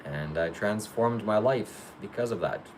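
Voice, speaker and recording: A man hosting a casual live Q&A, reading viewer questions aloud and answering them briefly in a matter-of-fact, low-key way. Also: lively intonation, measured pace, noisy background. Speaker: livestream host.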